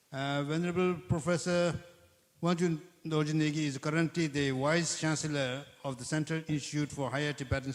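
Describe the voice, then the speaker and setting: A man moderating a conference session, formally introducing the next speaker and reading out his credentials with frequent hesitations. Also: fairly high pitch. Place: large conference hall. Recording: long hall reverb.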